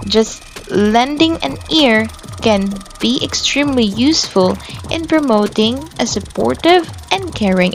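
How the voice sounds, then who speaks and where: A woman's voice: a little high, rough recording. A woman reading scripted educational narration about points of advice, as a presenter.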